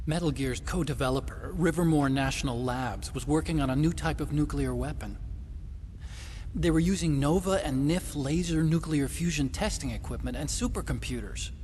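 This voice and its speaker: A man's voice: on the high side, moderate pace, a little mellow, a little hiss or hum, close to an everyday voice. A man playing an earnest, anxious, naive weapons engineer in a video game, with a hesitant, emotive delivery. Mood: earnest explaining. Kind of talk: dramatic video game voice acting. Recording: music behind the voice.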